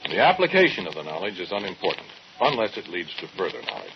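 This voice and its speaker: Casting: arrogant, dismissive scientist, lecturing delivery with big melodic swings, on a muffled, noisy old radio recording. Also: male voice, low voice, huge pitch swings, quick pace, creaky, full character voice. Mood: detached, lecturing. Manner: pompous, lecturing, clipped dismissals. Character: cold, arrogant scientist, dismissive and obsessed with knowledge. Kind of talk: mid-century radio drama acting.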